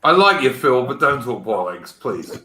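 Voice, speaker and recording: A middle-aged man hosts a casual stream, his British accent bent by a South African and Australian twang. His voice is bright and lively in pitch, blunt and bantering in manner. It is picked up in an echoey room over faint noise. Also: normal speed. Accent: mixed British with South African and Australian twang. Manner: blunt, swearing, animated banter. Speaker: stream host. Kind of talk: casual group chat on a livestream.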